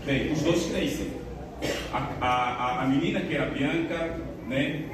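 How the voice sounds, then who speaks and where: Angolan man speaking in an echoey hall. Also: on the high side. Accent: Angolan Portuguese. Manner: measured, many pauses and filler words. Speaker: speaker at a church community event. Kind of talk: reflective talk to an audience. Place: church event hall. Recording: echoey hall, distant mic, noisy.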